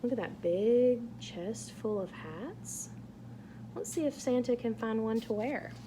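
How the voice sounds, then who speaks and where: A woman reading a picture book aloud to young children in a playful, animated way, asking exaggerated wondering questions. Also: fairly high pitch, a little slow, a little hiss or hum, rough recording. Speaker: children's read-aloud host.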